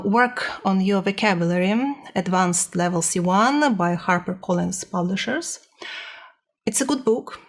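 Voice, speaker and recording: Woman, slow pace, mid-range pitch, hesitant, slightly nervous, casual vlog report. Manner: hesitant, nervous, searching for words. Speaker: language learner vlogger. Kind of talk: casual personal report to camera.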